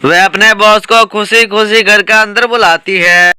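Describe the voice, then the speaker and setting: A woman narrating a cartoon story with steady, even storytelling. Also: mid-range voice, a little slow, a crowd in the background.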